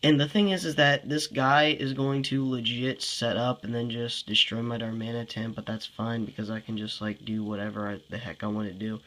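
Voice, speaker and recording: A man's voice: mid-range voice, fairly bright tone. A young man with a congested voice giving casual game commentary, thinking aloud, sighing often and sounding frustrated. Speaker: gameplay commentator.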